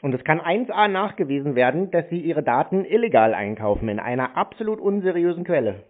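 A man's voice: fairly high pitch, very animated, a little fast. A man in an unscripted phone conversation, answering telemarketers in a blunt, matter-of-fact, unyielding way. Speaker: called party challenging telemarketers. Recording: narrow-band phone line.

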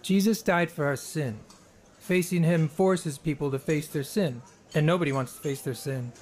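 An actor playing a fervent, earnest young street preacher in a radio drama, with emphatic delivery. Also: male voice, a little high, moderate pace, a little mellow, natural voice. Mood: earnest, gentle.

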